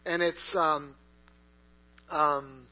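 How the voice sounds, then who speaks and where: A man's voice: a little high, steady tone, drawn-out words. A man preaching a sermon in a conversational way, with many fillers and restarts. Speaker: pastor. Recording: dull lo-fi recording with mains hum.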